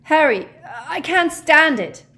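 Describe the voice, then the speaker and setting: A woman's voice: lowish voice, animated, slow pace. A woman voicing a lovesick teenage boy in a storybook reading, breathless and dreamy, easily enraged. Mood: desperate. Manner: breathless, sighing, overwrought delivery.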